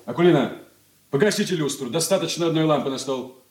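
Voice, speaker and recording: Actor as domineering son: fairly high, animated, petty and emphatic, radio drama with roomy sound. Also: male voice, quick pace, slight background noise, close to an everyday voice. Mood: irritated. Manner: peevish, haughty, emphatic. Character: irritable, domineering grown son, pompous and petty. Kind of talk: 1980s Soviet radio play acting.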